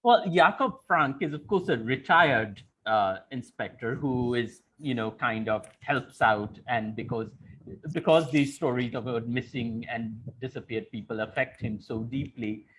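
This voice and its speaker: A man's voice: mid-range voice, measured pace, a bit bright, slightly rough, rough recording. A man interviewing conversationally, thoughtfully explaining his way toward each question. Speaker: interviewer, moderator.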